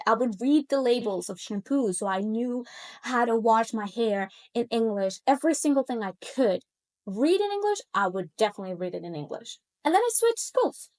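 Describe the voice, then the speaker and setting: Expressive Colombian woman telling her story. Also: a little high, moderate pace. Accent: Colombian Spanish. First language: Spanish. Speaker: Spanish language coach. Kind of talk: personal storytelling to camera.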